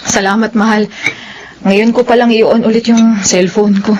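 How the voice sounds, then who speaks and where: A woman's voice: mid-range voice, little pitch movement, a little slow, a little mellow, lightly acted. An actress playing a stressed, suspicious woman questioning her sweetheart in an audio soap drama, with an insistent, probing manner. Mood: resigned. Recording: dull, noisy lo-fi audio.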